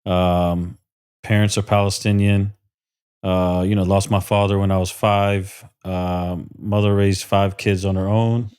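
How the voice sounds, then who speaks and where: A man's voice: deep voice, flat delivery, measured pace, darkish tone, clear, resonant tone. A man from South Florida, a podcast guest, talking earnestly and emotionally with many fillers and restarts. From South Florida, USA. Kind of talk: casual interview talk.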